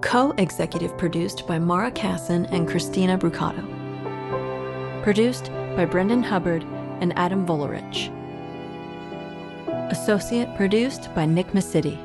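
Low-voiced woman reading podcast credits. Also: measured pace, vocal fry, long pauses. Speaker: credits announcer. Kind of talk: scripted podcast credits read. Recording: music bed under voice.

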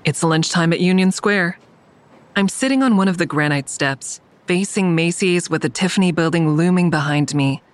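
A woman's voice: on the low side, normal speed, close to an everyday voice. Audio drama lead for an actress: woman, blunt, wry, tired but defiant first-person narrator. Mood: wry, watchful. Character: weary, defiant woman narrating her own thriller.

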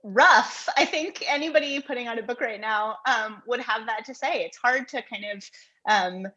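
Husky, higher-pitched woman, slightly muffled. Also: moderate pace, sharp tone. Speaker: guest writer, poet and essayist. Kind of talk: thoughtful unscripted interview answers.

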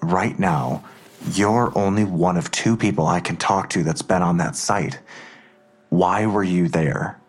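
An actor playing a worried, protective father in an audio drama, husky and earnest, reassuring but insistent. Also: low-pitched, measured pace, faint music behind.

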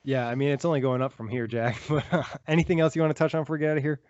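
A man co-hosting a casual sports podcast, talking quickly and agreeably with many fillers, on a dry lo-fi recording. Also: mid-range voice, flat delivery, darkish tone, no room sound. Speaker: podcast co-host. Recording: dry, dull lo-fi remote audio.

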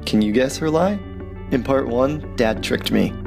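Upbeat gravelly male podcast host. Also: mid-range voice, a little slow, over music. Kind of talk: hospital podcast hosting and interviewing.

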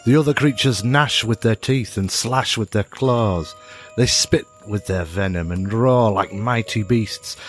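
A man voicing a timid, soft, furry female beast, trembling and pleading in a storybook tale. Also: lowish voice, a little slow, soft background music, natural voice. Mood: self-pitying.

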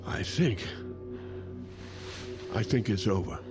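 An actor playing a gruff, insistent older man held captive in an audio drama. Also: on the low side, lively intonation, slow pace, over loud music. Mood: wary, resigned. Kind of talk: naturalistic audio drama acting. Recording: noisy vehicle ambience behind dialogue.

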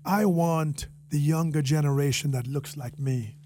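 A man's voice: a little high, measured pace, mellow. A man with a Jamaican accent speaking earnestly as a guest in a conversational interview, repeating phrases for emphasis. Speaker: interview guest, restaurant franchisee and entrepreneur.

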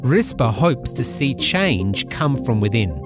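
A man hosting an agriculture podcast, reading scripted narration in a measured way. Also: mid-range voice, very animated. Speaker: podcast host. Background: background music.

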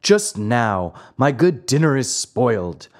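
A man voicing a huge, bearded, gruff but soft-hearted puppet master in a commanding, theatrical storybook style. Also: mid-range voice, sing-song, takes it slowly. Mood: grumbling.

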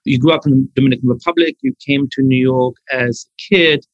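A man hosting a podcast, introducing his guest and asking about his life in an earnest, measured way. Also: mid-range voice, steady tone, highs cut off. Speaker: podcast host. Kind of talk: podcast interview.

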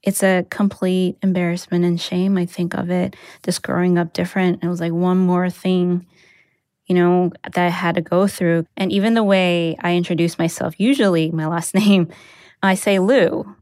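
A woman's voice: lowish voice, level tone, normal speed, a little mellow, dry recording, clean studio sound. An American woman whose first language is Mandarin, telling a personal story in an informal, conversational way. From United States. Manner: informal, sprinkled with "like" and "you know".

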